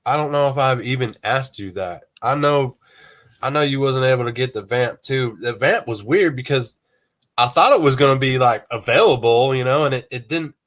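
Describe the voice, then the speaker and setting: A man's voice: medium pitch, moderate pace, a bit bright. A man hosting a casual toy-collecting livestream, talking in a rambling, laid-back way with frequent laughs and some cursing, a little congested from allergies. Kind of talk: casual livestream chat with viewers.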